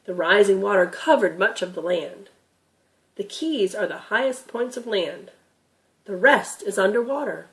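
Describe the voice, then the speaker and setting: A woman's voice: mid-range voice, lively intonation, a little slow, fairly bright tone, poor audio. A woman voicing a patient, knowledgeable grandfather who explains things emphatically in a children's storybook reading. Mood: patient, explanatory.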